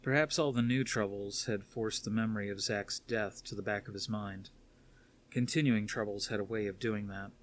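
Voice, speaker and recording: A man's voice: fairly low pitch, fairly even intonation, moderate pace. A man reading a novel aloud as scripted story narration. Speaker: audiobook narrator.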